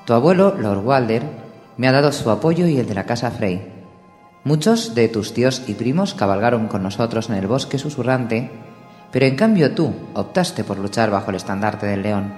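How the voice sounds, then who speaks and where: A man's voice: medium pitch, lively intonation, moderate pace, a little mellow, faint music behind, natural voice. A man voicing a firm, commanding young northern king who sets terms and demands oaths, with a roomy, echoing sound. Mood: stern. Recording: roomy echo with long reverb.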